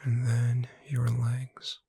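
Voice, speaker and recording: A woman playing a gentle witch bookseller in a breathy, soothing, whispered ASMR sleep roleplay. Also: a bass voice, monotone, dry recording, heavily acted. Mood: calm, soothing. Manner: slow, soothing, deliberate. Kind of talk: soft-spoken ASMR sleep roleplay, guided relaxation.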